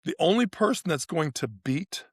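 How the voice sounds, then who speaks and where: A man's voice: on the high side, animated, normal speed, husky, no room sound. A man co-hosting a sports podcast, breaking down play in casual talk and repeating phrases for emphasis. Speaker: podcast co-host analysing tennis.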